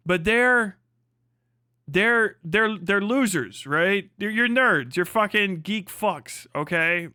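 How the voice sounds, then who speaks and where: A man's voice: high voice, lively intonation, slow, bright, forceful tone, smooth, no room sound, clean studio sound. A man, perhaps middle-aged, ranting casually on a livestream in a brash, mocking, profane and emphatic way. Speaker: livestream host.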